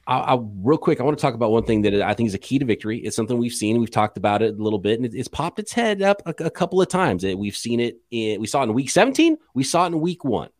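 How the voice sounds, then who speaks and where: A man co-hosting a sports podcast, talking casually with an animated, joking delivery. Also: medium pitch, fast talker, few pauses, dry recording, clean studio sound.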